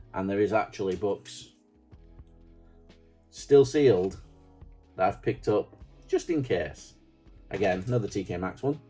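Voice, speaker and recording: A middle-aged man with a Yorkshire accent talks through his notebooks in a casual, rambling way and pokes fun at himself. His voice sits low and sounds warm and relaxed. Faint music plays softly behind him. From Yorkshire, England. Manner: chatty, rambling, self-deprecating. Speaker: stationery vlogger. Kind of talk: casual show-and-tell.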